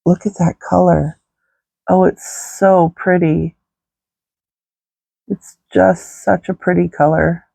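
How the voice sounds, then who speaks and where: Congested woman vlogger, unhurried rambling makeup chat, dry close sound. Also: on the low side, little pitch movement, vocal fry, dark tone, dry recording. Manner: slow, rambling, many fillers. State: stuffy nose, congested. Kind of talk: casual makeup tutorial chat.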